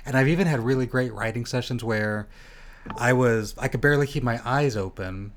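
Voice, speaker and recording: A man's voice: medium pitch, fairly quick, slight background noise. A man hosting a podcast, chatting casually with a guest and often stopping to restart his sentences. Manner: loud theatrical intro, then relaxed and rambling. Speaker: podcast host. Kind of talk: casual interview chat. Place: Las Vegas home studio.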